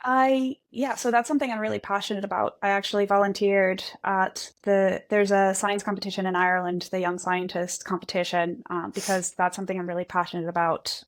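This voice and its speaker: Guest, female, Central American, Spanish native, easygoing and self-deprecating. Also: medium pitch. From Guatemala and El Salvador. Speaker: guest engineer. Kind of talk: conversational podcast interview.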